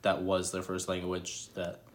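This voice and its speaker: Deep-voiced laid-back young man. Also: monotone, unhurried. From New England. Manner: laid-back, shrugging, lots of "I mean". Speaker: interviewee. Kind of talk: casual interview answers.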